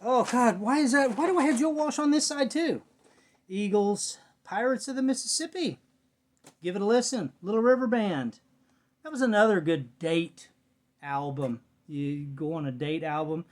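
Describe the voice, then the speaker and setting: A man's voice: high voice, moderate pace. A middle-aged American man chatting casually and unscripted in a rambling, folksy, muttering way, as a vlogger. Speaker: vlogger showing a cassette collection. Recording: clear background noise, clicks, home recording.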